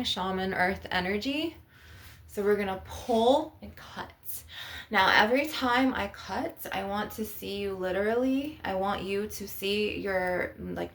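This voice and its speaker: Creaky-voiced woman, casual spiritual ASMR. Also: medium pitch, fairly bright tone. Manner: informal, encouraging, repeatedly addressing the listener directly. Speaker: ASMR energy healer channel host. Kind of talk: ASMR-style spiritual coaching, casual chat.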